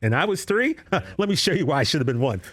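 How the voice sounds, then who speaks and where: A man co-hosting a sports podcast, telling animated stories in casual banter. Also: fairly high pitch, quick pace, slightly rough. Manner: animated storyteller, trash-talking banter. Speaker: podcast co-host.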